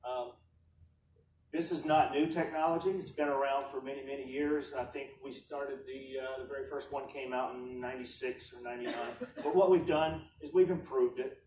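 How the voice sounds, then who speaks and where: Halting man speaking in a hall. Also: mid-range voice, steady tone, a little mellow. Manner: halting, many "uh" fillers. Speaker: product manager on an industry panel. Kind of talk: conference panel presentation. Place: conference hall, heard from far off. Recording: echoey distant hall livestream, faint noise.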